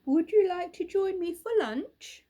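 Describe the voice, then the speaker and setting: A woman voicing a kind little female owl in a storybook, gentle and friendly. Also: very high-pitched, unhurried, pitched above the performer's own voice.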